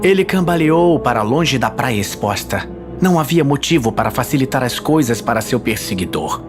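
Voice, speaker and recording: A male narrator with a Brazilian accent tells a dramatic fantasy story. His pitch sits in the middle for a man. Soft music plays faintly underneath the scripted reading. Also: lively intonation, normal speed.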